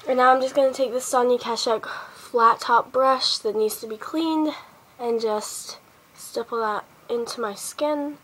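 A woman's voice: on the high side, steady tone, unhurried, a bit bright. Sniffly teenage girl doing a makeup tutorial. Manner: chatty, step-by-step, talking while applying makeup. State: sick and sniffly. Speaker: beauty vlogger. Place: small bedroom indoors. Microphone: handheld device camera close up. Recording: faint background noise, lo-fi device mic.

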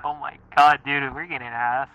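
A man's voice: medium pitch, flat delivery, normal speed, highs cut off. A man gaming and chatting casually with other players. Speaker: gamer. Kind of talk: casual game chat. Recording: gunfire noise behind the voice. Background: video game gunfire.

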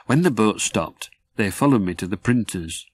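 A man narrates a children's story in a measured storytelling manner. His voice sits fairly low, and the recording is dry and close, with little room sound. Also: lively intonation, slightly rough, no echo. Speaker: author reading his own story.

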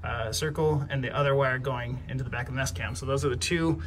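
Male DIY homeowner, medium pitch, halting informal tutorial delivery, outdoor recording with background ambience. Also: fairly even intonation, a little hiss or hum. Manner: halting, repeats words while explaining. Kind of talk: casual hands-on tutorial. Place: outdoors by a house front door.

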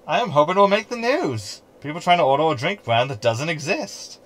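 A man's voice: a little high, animated, a little fast, natural voice. A man playing a sarcastic, scheming hologram in a sci-fi comedy audio drama, wordy and mocking. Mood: defiant.

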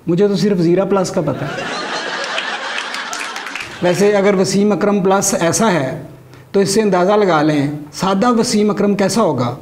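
Male satirist, middle-aged, Pakistani accent, high-pitched voice, scripted comedy monologue, clear background noise. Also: a little slow, pauses often, darkish tone. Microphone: lapel mic.

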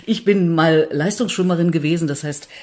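A woman's voice: low-pitched, a little fast, warm tone. A woman hosting a casual interview, informal and familiar, with questions and short reactions. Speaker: podcast host, interviewer. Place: indoors in the guest's home.

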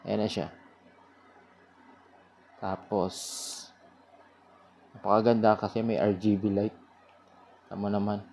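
A man giving a casual product review in an informal, sales-pitch manner. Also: little pitch movement, takes it slowly, vocal fry, pauses often, muffled recording, slight background noise.

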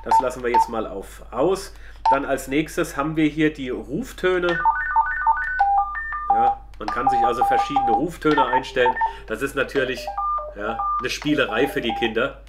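Male product reviewer, mid-pitched voice, colloquial and chatty, walking viewers through a demonstration. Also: moderate pace, very noisy.

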